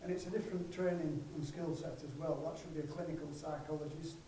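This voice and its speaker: A man with a fairly high voice explains things in a measured way, pausing between phrases, like an expert on a panel. He is some way from the microphone in an echoey room with clear background noise. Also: steady tone, mellow, recorded from a distance. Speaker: guest speaker, sports psychologist. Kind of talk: explanatory answer at a live Q&A. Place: room at a conference, away from the mic.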